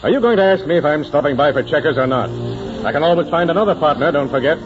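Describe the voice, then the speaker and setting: An actor playing a crotchety old judge with a blustery, grumbling manner, in 1940s radio drama style. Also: male voice, fairly high pitch, animated, fast talker, close to an everyday voice. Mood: crotchety, huffy. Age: older. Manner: blustery, grumbling, hesitant hems. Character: crotchety old judge, the mayor's checkers rival. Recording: muffled, hissy 1940s radio recording.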